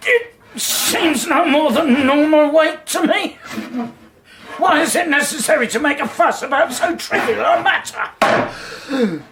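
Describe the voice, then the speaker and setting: A man's voice: very high-pitched, takes it slowly, pauses often, noisy background, full character voice. A man playing a pompous, irritable county education official, officious and indignant, in a radio drama. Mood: strained outrage.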